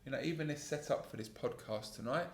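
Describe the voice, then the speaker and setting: A man in his thirties hosting a solo podcast, talking in a calm, earnest, self-critical way about his work as a sales trainer, with a gravelly voice. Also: medium pitch, fast talker, roomy sound. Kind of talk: solo reflective podcast monologue.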